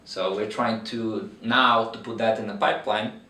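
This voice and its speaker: A man's voice: on the low side, fairly even intonation, normal speed, pushed and bright, far from the mic. A man in his thirties from Brazil with a Brazilian accent, explaining his veterinary research in an interview with many fillers and restarts. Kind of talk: interview answers. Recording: roomy echo, mic from afar.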